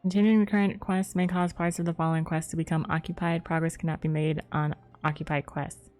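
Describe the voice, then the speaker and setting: A woman's voice: low-pitched, flat delivery, quick pace, a little mellow. A woman streamer giving casual, playful and rambling live commentary while playing a game. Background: faint game music.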